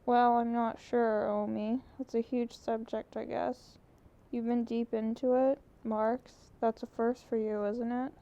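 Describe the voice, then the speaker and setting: A woman's voice: a little high, level tone, measured pace, vocal fry, hardly any pauses, warm tone. A woman voicing a dry, teasing adult man in an audiobook. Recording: muffled lo-fi recording.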